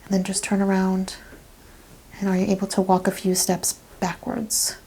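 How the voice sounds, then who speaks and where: A soft-spoken woman doing an ASMR chiropractic roleplay, giving gentle clinical questions and step-by-step instructions, often dropping to a whisper. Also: medium pitch, monotone, moderate pace, a little hiss or hum, poor audio.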